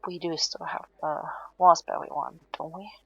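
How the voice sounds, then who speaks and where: A woman playing a weary, stubborn but affectionate hospital patient in an intimate audio roleplay, speaking softly and hesitantly, often half-whispering. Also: sits low, steady tone, creaky, husky, no echo, muffled recording, heavily acted. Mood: soft, hopeful. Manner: hesitant, halting, reassuring, drowsy.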